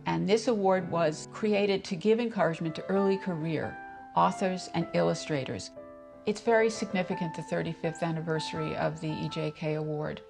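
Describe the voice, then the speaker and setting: A woman's voice: fairly low pitch, normal speed, creaky. A woman who leads a foundation, speaking in an earnest, emphatic documentary interview. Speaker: foundation leader. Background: music underneath.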